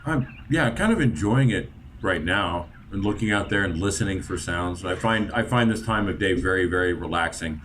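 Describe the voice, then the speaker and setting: A man playing a character in a tabletop game, chatting and reacting with lively swings in his voice. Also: low-pitched, normal speed, hardly any pauses, a little hiss or hum. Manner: lively, jumps into excited yelps. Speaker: player.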